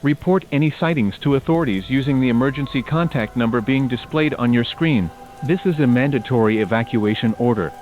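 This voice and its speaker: A man's voice: mid-range voice, brisk, soft background music. A man playing a stern, impersonal emergency alert announcer, reading commanding official notices through a broadcast filter. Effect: emergency broadcast phone-like filter. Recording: tinny band-limited emergency broadcast.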